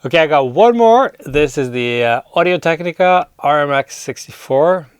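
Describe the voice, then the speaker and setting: A man hosting a casual hands-on audio gear demo, relaxed and appreciative as he thinks aloud. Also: a little high, moderate pace, smooth, dry recording, studio quality. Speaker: host demonstrating audio gear.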